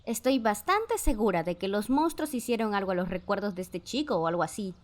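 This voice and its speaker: A woman's voice: mid-range voice, lively intonation, fairly quick, lightly acted. A woman playing a suspicious, impatient character in a Spanish fan dub. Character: suspicious, impatient grown woman, wary of monsters. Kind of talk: Spanish fandub voice acting.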